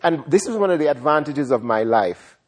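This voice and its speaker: Man from ghana, husky voice, reflective and unhurried, interview guest. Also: a little high, expressive. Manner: reflective, unhurried, repeats words as he thinks. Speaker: writer and guest.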